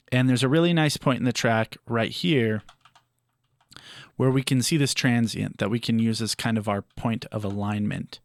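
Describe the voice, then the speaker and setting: A man presenting a step-by-step audio software tutorial in a measured, explanatory way. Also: mid-range voice, dry recording, studio quality.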